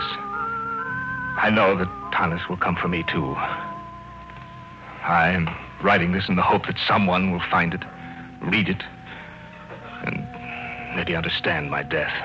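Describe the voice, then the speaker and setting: An actor playing a haunted husband who keeps a diary of dread in an old-time radio drama. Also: male voice, deep voice. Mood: bleak, resigned. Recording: muffled old radio recording with music.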